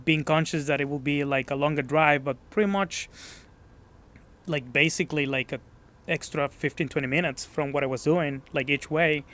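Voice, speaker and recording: A Mexican-accented man with a slightly higher voice talks in a relaxed gym interview. He is modest and searches for words in his second language. His sentences restart often. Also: normal speed. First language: Spanish. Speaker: gym member being interviewed. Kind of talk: casual interview.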